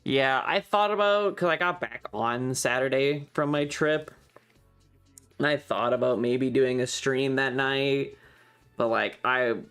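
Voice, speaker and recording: A man's voice: medium pitch, unhurried, fairly bright tone, clear, resonant tone, faint music behind. A man hosting a casual livestream, chatting with viewers in a playful, rambling, slightly distracted way. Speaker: live streamer and host.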